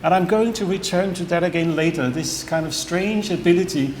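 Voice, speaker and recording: A middle-aged man delivers an academic talk at a conference, his voice sitting a little high. He speaks in a measured, reflective way, with occasional fillers and restarts. The sound is roomy with echo, over a faint background hiss. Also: darkish tone. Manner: measured, thoughtful, with frequent fillers and self-corrections. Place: conference hall with audience. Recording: echoey hall, faint background noise.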